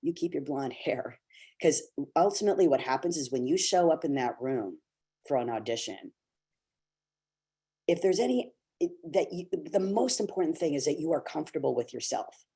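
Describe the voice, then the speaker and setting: A man's voice: on the high side, lively intonation, normal speed, dark tone, breathy edge. A middle-aged man from Canada, an actor speaking on a video call webinar, talking earnestly and encouragingly with many fillers and restarts. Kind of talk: candid talk to young actors.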